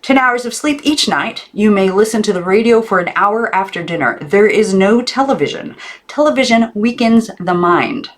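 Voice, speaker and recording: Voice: mid-range voice, expressive, normal speed, pitched above the performer's own voice, natural voice. A woman voicing a strict, prim elderly aunt in a storybook, enunciating precisely. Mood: strict, lecturing. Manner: precise, over-enunciated, stern.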